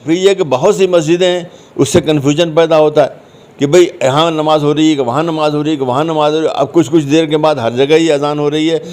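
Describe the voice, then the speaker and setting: A man's voice: fairly high pitch, steady tone, fairly quick, a little mellow. A man answering interview questions conversationally, explaining his points and repeating phrases for emphasis. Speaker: interview guest, commentator.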